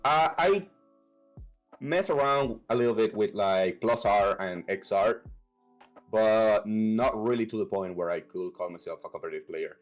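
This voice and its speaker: A young man from Venezuela with a Venezuelan Spanish accent, answering interview questions about his gaming in a halting way, with many fillers and restarts. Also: lowish voice, soft background music. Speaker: competitive fighting game player.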